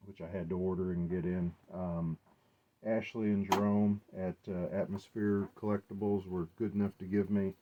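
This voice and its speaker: A man giving a casual, unscripted show-and-tell, speaking haltingly as he thinks aloud. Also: low-pitched, warm tone. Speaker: collector presenting his gear. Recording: dull, muffled lo-fi sound.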